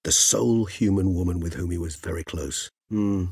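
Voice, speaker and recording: Old vampire scholar for a game, lowish slightly husky voice, gentle n world-weary, courtly wordy talk. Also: male voice, dry recording, studio quality. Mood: thoughtful. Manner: measured, courtly, bookish phrasing with thoughtful hums. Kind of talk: video game character dialogue.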